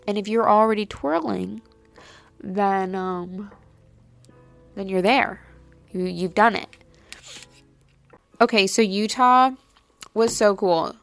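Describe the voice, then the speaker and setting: A woman's voice: mid-range voice, slow pace, creaky, long pauses, faint music behind. A young woman hosting a podcast, chatting casually and rambling with lots of "like", her nose a little stuffy. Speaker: podcast host. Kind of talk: casual solo chat. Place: at home late at night.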